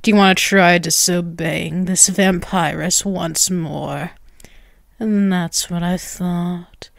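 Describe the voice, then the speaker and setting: A woman's voice: fairly low pitch, steady tone, gravelly, dry recording, studio quality. A woman voicing a dominant, ageless vampiress in an ASMR roleplay, teasing and condescending, drawing out her words. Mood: taunting, commanding. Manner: slow, condescending, teasing, with drawn-out words and chuckles. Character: dominant, taunting ageless vampiress toying with a captive human.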